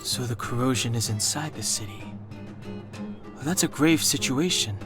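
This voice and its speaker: A reserved young male traveler played by an actor in a video game, mid-pitched and matter-of-fact. He speaks tersely and dryly, with guitar music clearly behind him. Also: normal speed, lightly acted. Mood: grave.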